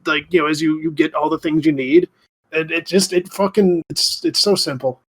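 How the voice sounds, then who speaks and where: A man with a somewhat high-pitched voice talks on a gaming podcast. His speech is casual and joking, like chatting with friends on a call. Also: moderate pace, fairly bright tone, breathy edge, low-quality recording. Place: remote voice call over the internet.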